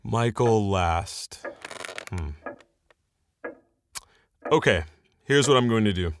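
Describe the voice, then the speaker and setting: An actor playing a wary, commanding leader of a post-war community in an audio drama, speaking in a measured, blunt way. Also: male voice, fairly low pitch, lively intonation, a little hiss or hum. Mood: skeptical.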